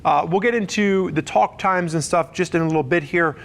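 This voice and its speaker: A man with a noticeably high voice presents a product review. He speaks conversationally and explains each feature step by step, as if working from loose notes. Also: fairly quick, gravelly. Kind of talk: semi-scripted product review to camera.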